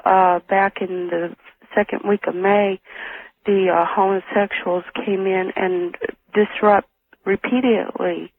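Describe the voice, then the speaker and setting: An American man phones in to a radio show over a thin, narrow line. He talks in a deliberate, halting way, feeling his way through what he has to report. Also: high voice, level tone. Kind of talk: halting informal report to a host. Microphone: telephone.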